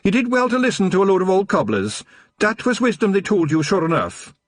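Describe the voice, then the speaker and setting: A man voicing a small, wild Scottish fairy chief, a cheeky rogue with a hoarse, whispery voice and a boisterous manner. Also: high-pitched, very animated, a little fast, dry recording, studio quality, voice pushed up. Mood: hearty.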